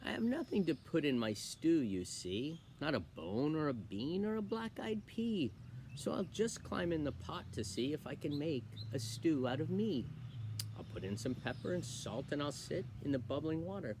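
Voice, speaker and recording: Cheerfully daft cook played by a man, lively. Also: on the high side, moderate pace, noisy background, pitched above the performer's own voice. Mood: cheerful, matter-of-fact. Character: cheerful daft cook making himself into stew. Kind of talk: comic poem performance.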